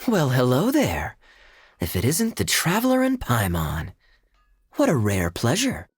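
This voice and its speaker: An actor playing a suave, teasing knight captain in a game cutscene, with a smooth, amused manner. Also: male voice, mid-range voice, wildly swooping pitch, a little slow, soft background music.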